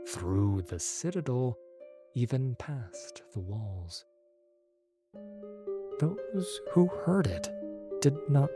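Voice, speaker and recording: A man narrating an audiobook story in a measured, expressive way. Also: lowish voice.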